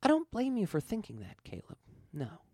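A man's voice: high-pitched, huge pitch swings, normal speed, dark tone, dry recording, clean studio sound, voice pushed up, exaggerated voice. A man voicing an ancient, seductive female-sounding evil spirit with a coaxing drawl in an audiobook story.

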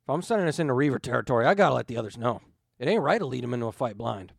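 A man voicing a gruff, suspicious outlaw for an audiobook, blunt and defensive, with incredulous questioning. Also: medium pitch, lively intonation, very fast, no room sound, clean studio sound. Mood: alarmed.

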